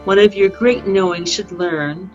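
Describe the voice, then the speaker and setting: A woman's voice: mid-range voice, darkish tone, faint music behind, natural voice. Muffled call audio, woman playing a proud Shakespearean princess. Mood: coolly polite. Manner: measured, formal verse with pointed emphasis. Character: proud young princess, sharp-tongued, loyal, coolly scornful. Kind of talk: amateur Shakespeare play reading. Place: remote online video-call reading. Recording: dull lo-fi video-call audio.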